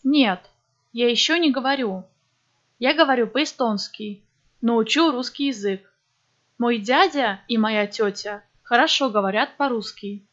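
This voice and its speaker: A woman reading scripted textbook dialogues for a language course, speaking slowly and clearly. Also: fairly high pitch, fairly bright tone. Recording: dull lo-fi audio.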